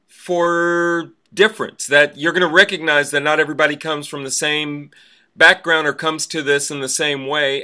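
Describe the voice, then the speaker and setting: A man hosts a conversational interview in a fairly high, bright voice. He is earnest and discursive, building long, carefully phrased thoughts. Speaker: podcast host, interviewer.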